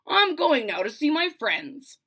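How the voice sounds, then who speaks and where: Woman acting a village baker father, bossy, dismissive, emphatic drawn-out orders, storybook style, animated pitch. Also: exaggerated voice.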